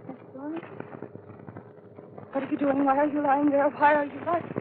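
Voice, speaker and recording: An actress playing a frightened young American woman near hysterics in a 1940s radio mystery, with a breathless, pleading, melodramatic delivery in short halting phrases. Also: high-pitched. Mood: horrified, dazed. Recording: scratchy, tinny 1940s radio broadcast, heavy noise.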